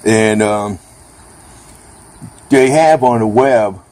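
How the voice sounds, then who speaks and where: A man with a fairly low voice talks off the cuff as he reviews gear. He rambles in a blunt, casual way with mildly salty slang. The recording is made outdoors under a carport, with some light background noise. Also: extremely slow, darkish tone. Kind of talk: unscripted casual product chat. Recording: noisy outdoor recording with ambient hum.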